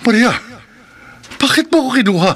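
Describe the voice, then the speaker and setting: A man's voice: very high-pitched, expressive, a little fast, a bit bright, husky, exaggerated voice. A man playing a captive husband in a horror radio drama, urgent and pleading yet defiant.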